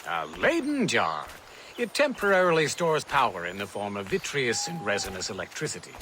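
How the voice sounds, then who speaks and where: A man's voice: medium pitch, wildly swooping pitch, moderate pace, sharp tone, slight background noise. An actor playing an enthusiastic, eccentric male inventor who explains with proud showmanship in a video game. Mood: eager, proud. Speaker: inventor scientist. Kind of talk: dramatic video game acting.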